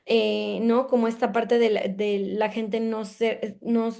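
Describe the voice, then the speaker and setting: A woman's voice: a little high, level tone, slow pace, creaky, dark tone. A woman interviewer, responding with empathy in a casual conversation and thinking aloud with fillers.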